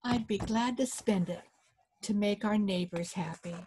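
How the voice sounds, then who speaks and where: A woman's voice: medium pitch, a little slow, warm tone, slight background noise, natural voice. A woman voicing a practical, kind-hearted old wife in a folktale, decisive and kindly. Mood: kindly resolve.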